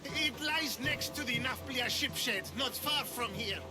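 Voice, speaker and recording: Sneering little crook in a game, really high voice, excitable, music behind. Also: male voice, takes it slowly. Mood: panicked. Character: small-time male swindler, scornful, defiant, cowardly when hurt. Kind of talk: video game cutscene acting.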